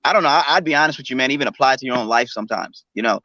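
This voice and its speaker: A man's voice: medium pitch, very fast, pushed and bright, no echo. A forty-year-old man from Texas, a musician guest chatting casually and often asking "you know what I mean". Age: 40s. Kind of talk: casual interview chat. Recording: dull lo-fi dry sound.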